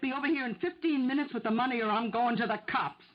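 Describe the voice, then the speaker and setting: A woman's voice: on the high side, fast, noisy background, natural voice. A woman playing a desperate, stubborn mother turned amateur sleuth in a radio mystery drama, emotional and insistent. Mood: demanding. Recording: muffled 1970s radio drama recording.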